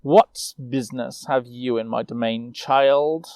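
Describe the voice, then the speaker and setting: An imperious queen of ice as a male storyteller plays her, cold and formal. The words are commanding and deliberate, in a very dry storybook recording. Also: mid-range voice, a little mellow, no echo.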